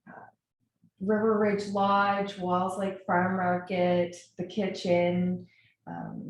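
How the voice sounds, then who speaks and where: Canadian woman, webinar presenter, slightly low voice, earnest and enthusiastic, recorded in a very reverberant room. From Nova Scotia, Canada. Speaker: webinar presenter, brand strategist. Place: indoor echoey room, online webinar. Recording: very echoey room, faint noise.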